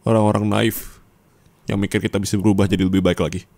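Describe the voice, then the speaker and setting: A man's voice: on the low side, flat delivery, quick pace, vocal fry, a little mellow, no room sound. A young man playing an angry, hurt boyfriend in an ASMR roleplay, confronting his partner and demanding answers. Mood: bitter.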